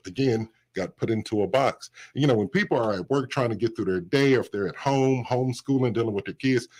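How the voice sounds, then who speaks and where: A man with a deep voice, talking about his radio career in a casual studio interview with an upbeat, animated way of telling stories. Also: fairly quick. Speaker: radio host, guest.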